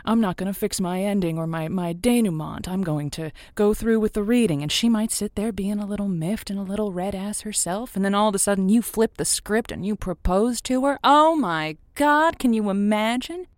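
A woman's voice: mid-range voice, moderate pace, hardly any pauses, darkish tone, no echo, close to an everyday voice. A woman playing a romantic, sympathetic radio advice host in a semi-improvised audio drama, speaking in an earnest, emphatic way. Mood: encouraging, playful.

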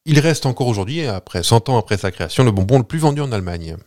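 A man's voice: medium pitch, lively intonation, quick pace, a little mellow. A man hosting a podcast, telling a story in a playful, rambling way with many hesitations. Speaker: podcast host. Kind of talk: casual chat, telling a story.

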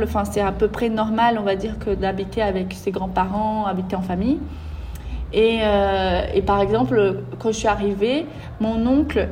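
A woman in her twenties from Brazil with a Brazilian Portuguese accent, telling her life story as a podcast guest with many pauses and fillers. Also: fairly high pitch, a little mellow, a little hiss or hum. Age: 20s. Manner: hesitant, many fillers, laughs easily. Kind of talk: casual storytelling interview.

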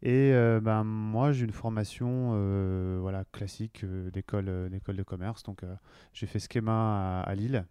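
Deep mellow man, halting speech. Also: steady tone, smooth, no echo. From western France. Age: 40s. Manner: hesitant, many fillers and restarts. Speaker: marketing director guest. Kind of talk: conversational interview.

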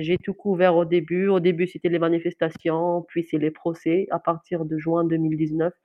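Earnest Algerian woman journalist, halting. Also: deep voice, highs cut off. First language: Kabyle. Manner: hesitant, many restarts and fillers, earnest. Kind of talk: interview answer, personal account.

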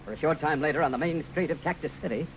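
A man's voice: a little high, rapid-fire, a little hiss or hum. A man with an American accent giving scripted narration as announcer of a 1950s radio western. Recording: muffled old radio recording. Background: music.

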